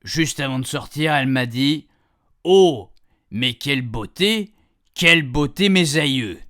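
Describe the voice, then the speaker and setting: A man's voice: medium pitch, lively intonation, a little slow, a bit bright, dry recording, clean studio sound. A man playing a chatty older husband who tells his camping memories in colloquial French-accented speech for a radio fiction, savouring words and grumbling in asides. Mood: grumbling, exasperated. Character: chatty, grumbling, nostalgic married man, an amateur camper. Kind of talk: first-person storytelling in a radio fiction podcast.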